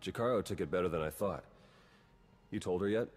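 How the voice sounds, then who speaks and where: An actor playing a wry, terse, roguish spy in a video game, dry and matter-of-fact. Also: male voice, sits low, a little fast, husky. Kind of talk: cinematic video game acting.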